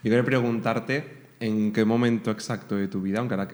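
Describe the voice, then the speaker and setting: Podcast host guy, lowish voice, jokey and teasing, easygoing. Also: fairly even intonation, fast talker, noisy background. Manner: playful, teasing. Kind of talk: casual podcast chat.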